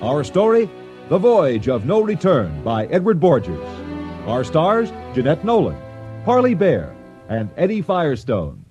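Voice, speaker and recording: Male radio drama announcer, higher voice, sweeping intonation, music behind. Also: wildly swooping pitch, measured pace, a little mellow, dull audio. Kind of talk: old-time radio announcing.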